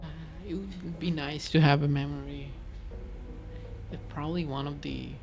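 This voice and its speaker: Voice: medium pitch, fairly even intonation, moderate pace. A game streamer giving casual, offhand reactions to a story, musing aloud a little hesitantly. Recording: loud game music behind voice.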